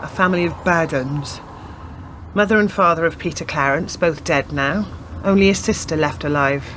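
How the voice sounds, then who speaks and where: A woman in a radio play acting a persistent, earnest police detective who lays out facts deliberately. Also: deep voice, a bit bright, slight background noise. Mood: factual, earnest.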